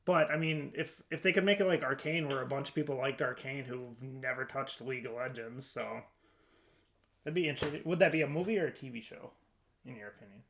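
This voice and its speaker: Dude with a creaky voice, medium pitch, talking blunt and casual on a podcast. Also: male voice, a little fast, fairly bright tone, slight background noise. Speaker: podcast host. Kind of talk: casual chat.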